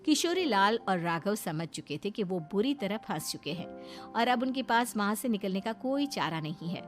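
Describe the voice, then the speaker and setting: A woman delivers scripted story narration as a narrator. Her voice sits at a middle pitch, with faint music underneath. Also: big pitch swings, normal speed.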